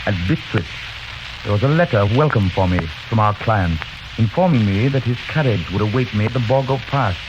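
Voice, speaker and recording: A man's voice: lowish voice, expressive, brisk, over music, close to an everyday voice. An actor playing an earnest, anxious young English lawyer, narrating his journal with dramatic intensity in old radio drama style. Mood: sober. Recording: noisy, muffled old radio recording.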